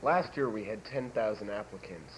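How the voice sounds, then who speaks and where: A teenage boy playing a college admissions officer in a school TV sketch, answering everything in a flat, matter-of-fact way. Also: lowish voice, normal speed, natural voice. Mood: deadpan. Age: teens. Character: deadpan absurd male college admissions officer. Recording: noisy 1980s school videotape.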